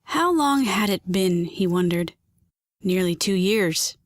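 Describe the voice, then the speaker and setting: A woman's voice: mid-range voice, expressive, slow, no echo, clean studio sound. A woman reading scripted fiction aloud as a story narrator.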